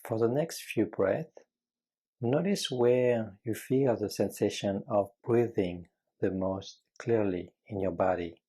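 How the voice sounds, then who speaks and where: Slow, husky, low-voiced man guiding meditation. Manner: gentle, measured, frequent pauses. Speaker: meditation guide. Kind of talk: guided meditation, calm slow instruction.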